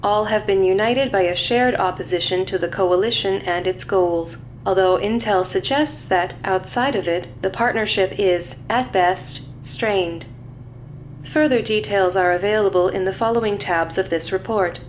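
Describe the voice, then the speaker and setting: A woman's voice: moderate pace, close to an everyday voice. A woman voicing an official computer system that reads intelligence files aloud in a formal, impersonal, clipped manner. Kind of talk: scripted dossier read-out. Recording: dull lo-fi audio, faint rumbling noise.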